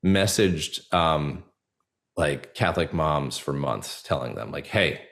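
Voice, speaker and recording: A man speaking as a guest in a casual interview, earnest and halting, often repeating words and leaning on fillers. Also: very deep voice, expressive, vocal fry, fairly bright tone. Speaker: podcast guest, actor and storyteller.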